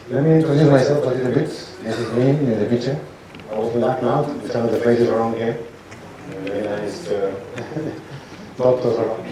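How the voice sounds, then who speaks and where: A man gives an informal lecture as a doctor, speaking haltingly and asking his audience questions. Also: medium pitch, mellow. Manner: halting, restarts sentences, asks audience rhetorical questions. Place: lecture hall. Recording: echoey hall, from a distance, faint noise.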